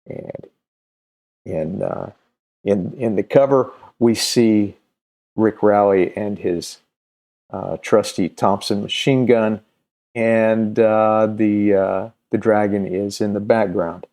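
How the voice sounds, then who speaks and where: A man presenting casually and unscripted as a comics video host, halting and often searching for his words. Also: fairly low pitch, fairly even intonation, gravelly, a little mellow. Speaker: comics video host and creator promoting his own campaign.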